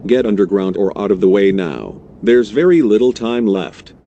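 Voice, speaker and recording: A man's voice: on the low side, very animated, moderate pace, mellow, highs cut off, a little hiss or hum. A man playing a solemn, earnest TV meteorologist who implores viewers in an urgent live weather broadcast. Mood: grave, pleading. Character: grave, pleading male TV meteorologist covering a tornado outbreak. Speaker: TV weather forecaster.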